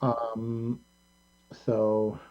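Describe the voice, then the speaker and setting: A man's voice: medium pitch, completely flat delivery. Rambling man hosting in dull lo-fi sound. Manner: rambling, opinionated, halting. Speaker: talk show host. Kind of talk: casual pop culture discussion. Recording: band-limited, dull lo-fi sound.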